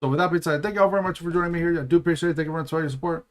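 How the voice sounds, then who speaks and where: A man streaming a strategy game who thinks aloud in a relaxed way, his voice a little raspy and tired. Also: a little high, rapid-fire. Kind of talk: live gameplay commentary.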